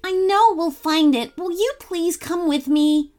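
A woman's voice: extremely high pitch, unhurried, darkish tone, pitched above the performer's own voice. A woman voicing a bubbly, eager young girl. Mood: coaxing.

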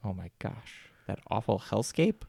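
A man's voice: lowish voice, very animated, a little slow, vocal fry, warm tone. A young man playing his character in a tabletop game, talking casually with lots of restarts. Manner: casual, chatty, with frequent restarts and laughs. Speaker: player.

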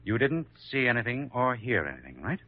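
An actor with an American accent playing a brisk, skeptical insurance investigator in an old-time radio drama, clipped and businesslike. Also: male voice, mid-range voice, sing-song. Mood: probing, doubtful. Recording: muffled vintage radio recording.

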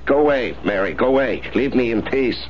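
An actor playing a bitter, unstable husband shut away in an asylum, accusing and repetitive, in a 1970s radio drama. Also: male voice, mid-range voice, animated, fairly quick, natural voice. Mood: anguished, despairing. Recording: muffled, faintly noisy 1970s radio broadcast.

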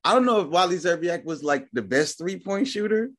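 A man's voice: sits high, animated, a little fast. Laid-back man telling a story. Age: 30s. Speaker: guest, host's big brother. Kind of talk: casual podcast chat.

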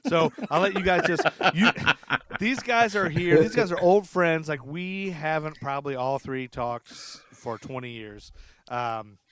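A man's voice: on the high side, unhurried, a bit bright. A middle-aged man hosting a casual podcast chat, asking rambling, curious questions in an informal way. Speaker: podcast host. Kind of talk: casual chat interviewing old friends.

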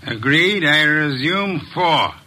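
An actor playing a fat, pompous detective in a 1950s radio drama, speaking in calm, measured, formal sentences. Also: male voice, fairly high pitch, background noise. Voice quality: formal, measured, elaborate diction.

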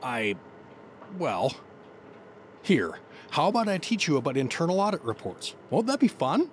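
A man's voice: fairly high pitch, huge pitch swings, normal speed, a little hiss or hum. A man playing an earnest, nerdy office father who sounds eager and awkward. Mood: eager, fatherly. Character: earnest, nerdy, put-upon office dad.

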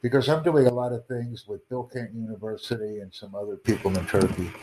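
A lower-voiced man chats over a video call, earnest and a little repetitive as he promotes his language course. Faint noise sits behind him. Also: steady tone, fairly quick, warm tone, poor audio. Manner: earnest, promotional, repeats himself. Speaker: language-learning channel host. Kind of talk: casual video chat with a stranger.

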